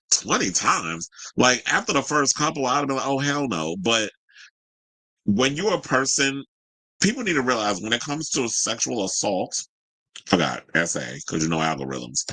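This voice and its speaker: A man's voice: lowish voice, bright, forceful tone, dry recording, rough recording. A man hosting casual gossip commentary, chatty and candid, with a sassy, colloquial way of talking to his audience. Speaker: host of a commentary show.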